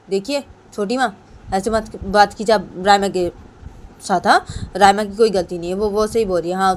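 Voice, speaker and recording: A defiant young woman acted by a woman storyteller argues protectively, insisting and repeating herself. The voice sits at a medium pitch, with faint vehicle noise behind it. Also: lightly acted. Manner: insistent, repetitive.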